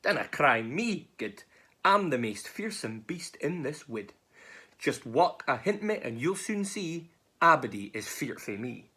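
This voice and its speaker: A woman's voice: sits low, very animated, a little slow, pushed and bright, slightly rough, voice pushed up, heavily acted. A woman voicing a bold, cheeky small mouse in Scots for a storybook, with a Scottish accent. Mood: boastful.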